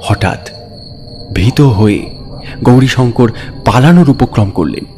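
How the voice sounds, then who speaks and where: A man gives a dramatic, suspenseful narration of a scary story in deliberate, theatrical phrases. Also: on the low side, dark tone. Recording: voice over background music. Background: music bed.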